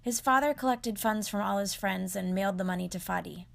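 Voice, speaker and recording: A woman hosting, who reads her narration clearly and asks short, encouraging questions. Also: mid-range voice, brisk, fairly bright tone. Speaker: host and interviewer. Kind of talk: scripted narration and casual interviewing.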